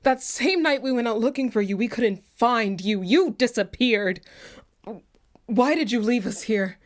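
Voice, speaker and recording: A woman's voice: fairly high pitch, measured pace, lightly acted. A woman playing an anxious, solicitous mother goddess in a radio play. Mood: upset, insistent. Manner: solicitous, probing, insistent. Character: fussing, anxious mother, a grieving harvest goddess.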